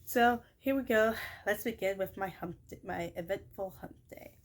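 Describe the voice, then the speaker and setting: A young woman who works in childcare recaps her day in a casual vlog, her high, shrill voice rambling with many fillers and self-corrections. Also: moderate pace. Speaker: childcare worker.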